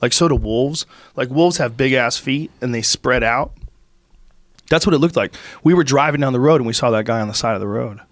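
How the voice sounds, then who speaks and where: A man in his fifties hosting a podcast, chatting casually in a lively, profane way while congested from a cold. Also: mid-range voice, a little fast, no echo, clean studio sound. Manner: animated, profane storytelling. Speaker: podcast host. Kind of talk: casual chat. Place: studio with brick wall. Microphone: studio mic on boom arm, headphones.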